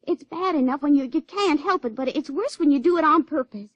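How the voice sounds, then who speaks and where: A woman's voice: high voice, brisk, no echo, natural voice. An actress playing a naive, wilful young backwoods girl with a rural Southern American accent, folksy and guileless, in a radio drama. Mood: pleading. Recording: dull, dry vintage radio recording.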